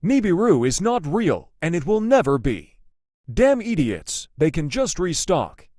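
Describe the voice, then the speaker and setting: A man acts out an angry, scornful skeptic in an amateur disaster drama. His voice sits fairly high and leaps around dramatically, yet he takes his time over the words. He sounds exasperated and dismissive. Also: big pitch swings, measured pace, a little mellow, no echo, clean studio sound. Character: angry dismissive man scoffing at doomsday panic.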